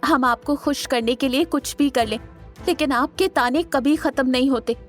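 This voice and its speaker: A woman's voice: high voice, normal speed, faint music behind, lightly acted. A woman playing a fiery, defiant young wife in an audio drama, speaking in an indignant, confrontational way. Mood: defiant, hurt. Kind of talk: Hindi audio drama acting.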